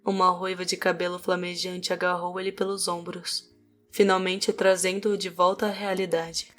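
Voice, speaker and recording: A woman with a Brazilian accent narrates a fantasy story in a low voice. Her delivery is fairly even in pitch, with music playing behind her. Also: moderate pace.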